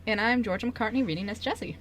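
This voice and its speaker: A woman's voice: a little high, quick pace. A young woman in casual, joking, informal chat on a radio show. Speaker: radio show member and script writer.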